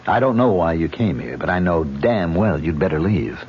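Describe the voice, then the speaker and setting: A sardonic haunted actor, lowish voice, lively delivery, muffled old radio sound. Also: male voice, moderate pace. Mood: bitter. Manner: sardonic, defensive. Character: haunted, bitter male actor, on edge. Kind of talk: 1970s radio drama acting. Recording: dull old radio broadcast with faint hiss.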